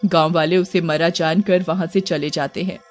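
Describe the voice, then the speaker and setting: A man's voice: a little high, steady tone, moderate pace, soft background music. A man narrating a scripted horror bedtime story as the storyteller.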